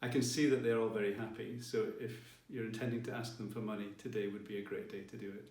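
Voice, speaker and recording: A man giving a formal ceremonial address as a college leader, with measured, deliberate phrasing. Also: fairly low pitch, flat delivery, a little mellow. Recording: roomy, distant mic, faint music behind.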